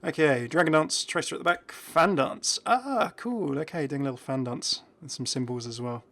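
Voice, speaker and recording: A man's voice: fairly high pitch, moderate pace, creaky, a bit bright. A man hosting a gaming video, giving casual commentary and musing aloud in a chatty way. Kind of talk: casual game update commentary.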